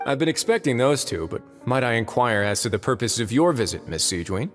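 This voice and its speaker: A man's voice: medium pitch, lively intonation, natural voice. A man voicing a suave, shrewd, self-assured authority figure, formal and wry, in a game dub. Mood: wry, courteous. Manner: formal, wry, unhurried phrasing. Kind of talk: English game dub acting. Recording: long hall reverb, music underneath.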